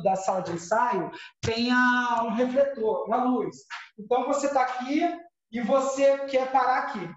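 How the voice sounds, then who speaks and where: A man teaching an acting class over a video call, explaining with examples and many restarts. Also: very high-pitched. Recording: dull echoey sound.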